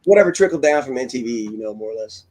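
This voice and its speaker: A man from Pennsylvania, co-hosting a podcast, chats in quick, offhand remarks. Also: mid-range voice, animated, a crowd in the background. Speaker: podcast co-host. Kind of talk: casual chat.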